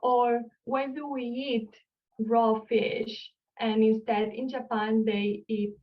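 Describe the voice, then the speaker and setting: A woman's voice: a little high, fairly even intonation, slow pace, distant mic, dull audio. A woman from Barcelona with a Spanish accent, an archaeologist explaining her field in an online lecture and asking the audience questions. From Spain, Barcelona. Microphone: video call.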